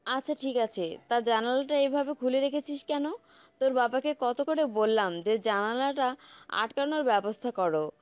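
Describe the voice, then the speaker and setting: A woman playing a fussing middle-aged mother in a romantic audio story, talking in a brisk, nagging, motherly way. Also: a little high, clear, resonant tone, dry recording, close to an everyday voice. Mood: mildly scolding. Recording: slightly dull close recording, music bed. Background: background music.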